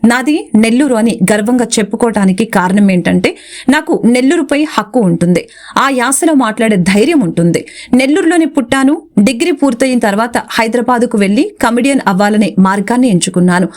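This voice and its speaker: A woman's voice: on the high side, expressive, brisk, a little mellow. Woman narrating a scripted report.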